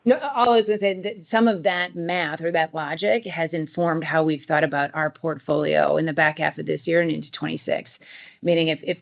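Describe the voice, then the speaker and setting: A man with a fairly high, noticeably creaky voice speaks as an executive explaining decisions to analysts. The talk is semi-formal business speech, with slightly dull call audio. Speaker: company executive on an earnings call. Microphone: conference call line. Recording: muffled conference call audio.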